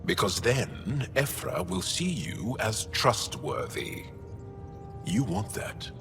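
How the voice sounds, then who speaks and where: An actor playing a grave, proud alien resistance fighter in a video game, speaking deliberately and solemnly. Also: male voice, mid-range voice, huge pitch swings, gravelly, heavily acted. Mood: matter-of-fact. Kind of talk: dramatic game dialogue. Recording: music behind the dialogue.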